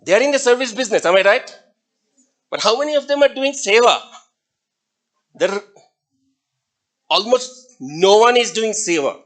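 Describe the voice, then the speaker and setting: Middle-aged indian guy lecturing, high voice that swoops around a lot, keeps quizzing the class. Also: expressive, moderate pace, pauses often, a bit bright. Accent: Indian English. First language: Hindi. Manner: asks the class questions, chuckles between points.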